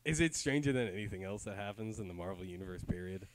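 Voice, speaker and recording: A man's voice: sits low, expressive, quick pace. Blunt man chatting over faint noise. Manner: blunt, slangy, dismissive. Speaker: co-host of a comic book review show. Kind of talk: casual banter review chat.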